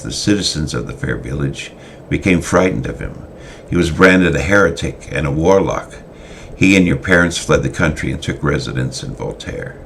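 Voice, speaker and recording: A man giving a semi-dramatic reading of his own story, halting now and then with fillers and restarts. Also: rumbling low, gravelly, a bit bright, a little hiss or hum.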